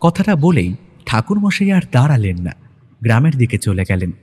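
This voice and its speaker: A man's voice: medium pitch, big pitch swings, normal speed, darkish tone, slightly rough. A man narrating a scripted detective story in a dramatic storytelling style.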